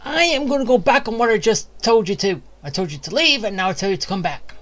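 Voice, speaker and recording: A woman's voice: fairly high pitch, animated, fast, a bit bright. A woman giving casual gameplay commentary with wry, blunt asides. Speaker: video game streamer.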